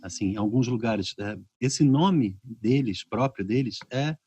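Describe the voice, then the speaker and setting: Animated Brazilian man hosting a video-call chat. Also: mid-range voice, a little slow, slightly rough, no echo. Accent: Brazilian Portuguese. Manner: animated storyteller, acts out reactions. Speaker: podcast host.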